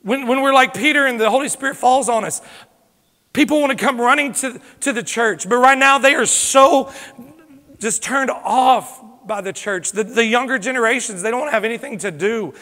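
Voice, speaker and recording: A man's voice: very high-pitched, moderate pace. Fired-up preacher man. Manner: fervent preaching, swings from loud exhortation to hushed confession. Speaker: lead pastor. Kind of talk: impassioned sermon. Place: church sanctuary. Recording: long hall reverb from a church sanctuary.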